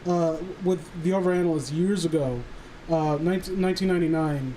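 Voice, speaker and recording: A man chatting and reading aloud on a casual livestream, halting and sardonic, with some swearing. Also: on the high side, mellow, a little hiss or hum, low-quality recording. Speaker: streamer reading stories aloud. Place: voice call livestream.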